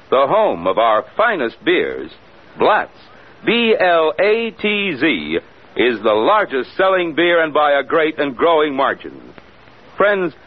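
Lively, emphatic vintage radio pitchman. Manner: emphatic, salesmanlike. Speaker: radio commercial announcer. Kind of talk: 1940s-50s radio ad read. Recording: muffled old radio recording, music.

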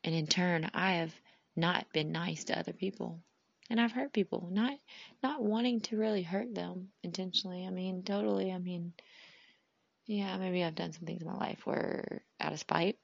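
A woman hosting a podcast, talking in a rambling, heartfelt way and often dropping to a soft whisper. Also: on the low side, moderate pace, gravelly, slightly rough, dry recording, dull audio. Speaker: podcast host. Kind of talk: casual reflective monologue.

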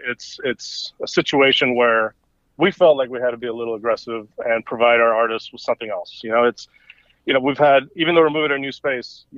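A man's voice: medium pitch, fairly bright tone, no echo. A man from El Salvador, a native Spanish speaker, talking casually as a guest, rambling with many fillers and restarts. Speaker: gallery owner, guest. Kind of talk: casual conversation. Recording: dull lo-fi audio.

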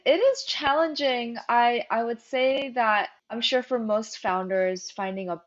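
A woman's voice: on the high side, unhurried, lo-fi sound. A woman who grew up in the United States with Korean as her first language, a company founder answering interview questions in a chatty way, with many "like" fillers and easy laughter.

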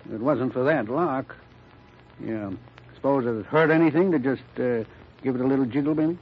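An actor playing a glum, folksy, pessimistic associate in an old-time radio mystery. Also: male voice, medium pitch, normal speed, highs cut off, natural voice.

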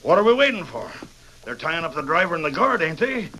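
Blustery high-voiced Western sheriff. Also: male voice, big pitch swings, a little fast, sharp tone. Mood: impatient. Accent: American Western. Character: stubborn, suspicious old-West town sheriff. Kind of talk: old-time radio western acting. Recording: faintly noisy old radio recording.

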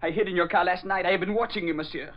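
A man's voice: high voice, very fast, close to an everyday voice. A male actor playing a defiant, frightened young French orphan boy with a French accent in a 1940s radio drama. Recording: muffled vintage radio recording.

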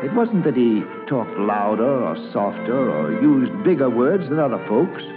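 A man's voice: mid-range voice, big pitch swings, unhurried. An actor playing a plain-spoken American man who fondly and wistfully narrates his boyhood in an old-time radio drama. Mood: admiring. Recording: muffled old radio recording with music.

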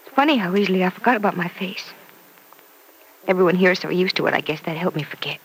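A woman playing a gentle, dignified aunt in an old radio soap, with a measured, polite and restrained delivery. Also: fairly low pitch, expressive. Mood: guarded. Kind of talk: old-time radio soap opera acting. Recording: noisy vintage radio recording.

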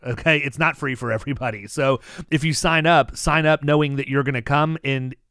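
A man hosting a casual podcast, talking animatedly with many restarts and making pitches to his listeners. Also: steady tone, brisk, bright, forceful tone, clean tone, no echo, studio quality. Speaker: podcast host.

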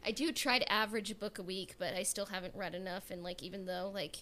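A woman's voice: mid-range voice, steady tone, fast talker, bright, forceful tone, slight background noise. A woman hosting a casual podcast, chatting informally and reading out listener comments. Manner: informal, conversational. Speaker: podcast host.

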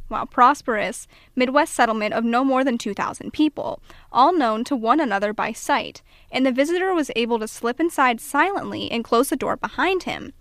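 A woman's voice: high voice, fairly bright tone, no room sound. A young American woman co-hosting a podcast, playful and jokey as she moves between casual banter and storytelling. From Pennsylvania and Iowa, US. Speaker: podcast co-host. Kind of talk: casual banter and true-crime narration.

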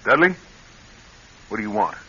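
An actor playing a wary, bitter ex-convict with dry sarcasm in a radio drama. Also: male voice, on the low side, animated, normal speed, bright, forceful tone, slight background noise. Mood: suspicious. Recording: muffled old radio show, faint music.

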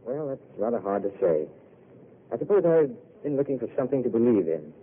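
An actor playing a weary, self-mocking intellectual who speaks in a wry, eloquent way, heard on a tinny old radio broadcast. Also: male voice, mid-range voice, very animated, a little fast, slightly rough. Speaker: wandering failed writer. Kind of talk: 1930s radio drama acting.

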